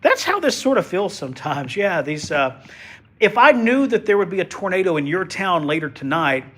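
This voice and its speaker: Weather guy, stuffy head cold, kinda husky, voice sits a bit high, chatty and joking. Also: big pitch swings, normal speed, fairly bright tone. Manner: folksy, chatty, joking asides. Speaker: TV meteorologist. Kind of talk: casual livestream weather commentary.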